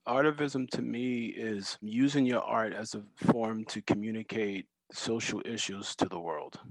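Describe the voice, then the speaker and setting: A man, a graffiti designer, answering interview questions in a measured way. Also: fairly low pitch, steady tone, no echo, poor audio. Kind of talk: interview conversation.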